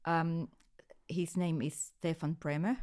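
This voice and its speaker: A woman from Finland in her forties with a Finnish accent, answering interview questions hesitantly, with many pauses and restarts. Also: deep voice, fairly even intonation, darkish tone. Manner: hesitant, many ums and restarts, light laughs. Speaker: guest, music photographer.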